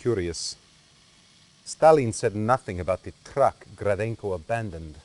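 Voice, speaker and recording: A man playing a cold, calculating Soviet intelligence officer in a game cutscene, measured and smoothly authoritative. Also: lowish voice, expressive, darkish tone. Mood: coolly suspicious. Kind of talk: dramatic game cutscene acting.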